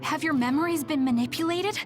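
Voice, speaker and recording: A woman's voice: high voice, a little fast, music in the background, natural voice. A woman voicing an earnest, loyal young female soldier in a video game, sincere and serious. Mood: concerned. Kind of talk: English video game voice acting.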